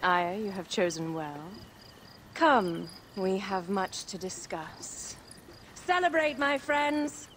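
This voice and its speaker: A woman's voice: mid-range voice, animated, slow pace, fairly bright tone. Casting: imperious pleasure-loving queen, ancient Egypt game. Middle register, theatrical command, noisy ambience. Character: imperious, decadent young exiled queen of Egypt. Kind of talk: dramatic video game acting.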